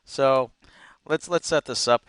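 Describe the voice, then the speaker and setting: A man co-hosting a casual chat, recapping episodes in an animated storytelling way. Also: medium pitch, slow, clean tone, no room sound, clean studio sound. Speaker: co-host reviewing cartoon episodes.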